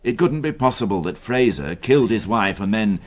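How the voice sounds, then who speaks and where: A man's voice: lowish voice, expressive, moderate pace. A man playing an irritable, sarcastic police inspector who blusters impatiently in a radio drama. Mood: frustrated. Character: irritable, sardonic male police inspector, easily exasperated.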